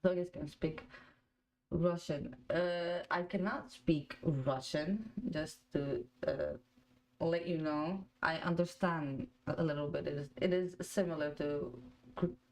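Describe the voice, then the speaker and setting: Fan-stream host, woman in her twenties, Croatian native, low pitch, slow relaxed delivery, chatty. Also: rough recording. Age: 20s. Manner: chatty, laughs often, reads out viewer comments. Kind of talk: casual live watch-along chat.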